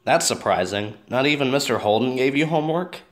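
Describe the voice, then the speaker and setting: A man's voice: mid-range voice, animated, a little fast, a bit bright, close to an everyday voice. A man playing a sarcastic, reluctant teenage boyfriend, with dry and skeptical delivery. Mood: curious.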